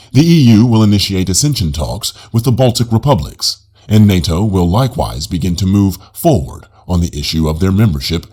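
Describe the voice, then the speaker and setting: A man reading a nonfiction audiobook in a formal, measured manner. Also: lowish voice, a little mellow, slightly rough. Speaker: audiobook narrator.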